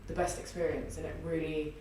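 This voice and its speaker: A woman in her early thirties with a husky, low alto voice, telling a long story in a rambling, chatty way with many fillers in a podcast interview. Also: level tone, a little fast, dark tone, distant mic, a little hiss or hum. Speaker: guest, singer and theatre artist. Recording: echoey room, voice off-mic.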